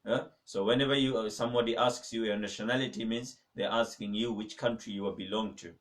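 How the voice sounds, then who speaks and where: Male teacher, low voice, patient and repetitive, mosque class heard from a distant mic. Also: steady tone. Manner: patient, repeats phrases slowly with many okays. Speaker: Arabic teacher. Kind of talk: live-streamed beginner language lesson.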